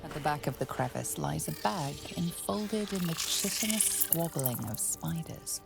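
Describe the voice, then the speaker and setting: An actress playing a grave, all-knowing fantasy game narrator with a measured, ominous delivery. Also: female voice, sits low, expressive, gravelly. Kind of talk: scripted second-person game narration. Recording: loud game music behind. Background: game music.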